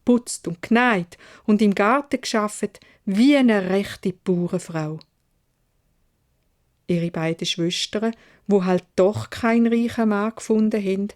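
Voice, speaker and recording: A woman's voice: mid-range voice, unhurried, darkish tone. A woman with a Swiss German accent narrating a children's fairy tale expressively in dialect, as a storyteller.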